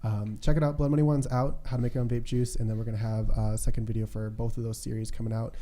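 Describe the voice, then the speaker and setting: A man's voice: medium pitch, flat delivery, quick pace, dark tone. A young adult American man hosting a casual podcast in a conversational way. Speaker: podcast host.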